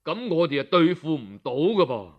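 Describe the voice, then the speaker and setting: A man voicing an earnest court minister in a storytelling performance, solemn, measured and imploring. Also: on the high side, very animated, highs cut off. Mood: cautious, earnest. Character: grave, earnest male court minister pleading with his king.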